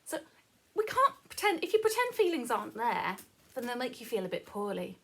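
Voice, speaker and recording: Animated high-pitched woman reading to children. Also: fairly quick. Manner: sing-song, expressive, prompting listeners with questions. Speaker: teacher reading a story to children. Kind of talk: animated children's story read-aloud with explanations.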